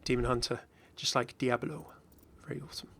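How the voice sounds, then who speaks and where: A man hosting a gaming video with casual, excited reaction commentary in a rambling, exclamatory way. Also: mid-range voice, fairly quick, gravelly, noisy background. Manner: rambling, exclamatory, chuckling asides.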